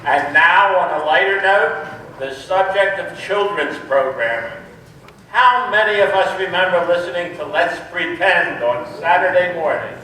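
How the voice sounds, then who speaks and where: A man's voice: high voice, lively intonation, unhurried, pushed and bright. A man narrating a scripted, nostalgic old-time radio show as its announcer. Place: echoey hall, far from the microphone. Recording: distant, very echoey stage, faint noise.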